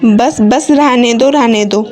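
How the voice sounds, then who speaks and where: High-pitched chiding village wife acted by a woman. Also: takes it slowly, a little mellow. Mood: dismissive, chiding. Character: weary, sceptical village wife chiding her husband. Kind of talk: Hindi moral story cartoon voice acting. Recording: music under the voice.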